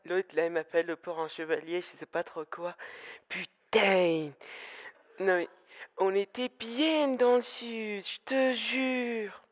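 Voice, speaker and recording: A woman's voice: medium pitch, fairly even intonation, takes it slowly, close to an everyday voice. A woman playing a lazy, dismissive local police officer in an amateur audio drama. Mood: fed up, dismissive.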